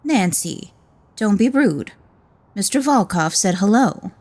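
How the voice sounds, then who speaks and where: A woman's voice: mid-range voice, lively intonation, takes it slowly, darkish tone, slight background noise. An actress playing a proper mother who admonishes her teenage daughter in a radio drama. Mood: scolding. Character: polite, scolding mother of a teenage girl.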